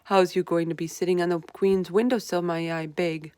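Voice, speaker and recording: A woman's voice: lowish voice, fairly even intonation, normal speed, a little mellow, clean tone, dry recording, natural voice. A woman voicing a naive, anxious, eager big friendly giant from a storybook, with muddled folksy grammar. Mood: curious. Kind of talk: storybook read-aloud character voice.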